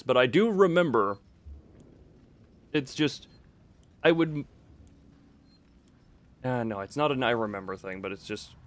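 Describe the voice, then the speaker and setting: A man livestreaming a game, chatting casually in a halting, rambling way as he thinks aloud. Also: mid-range voice, a bit bright. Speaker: livestream host. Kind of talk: casual chat while playing a horror game live. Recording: clear background noise.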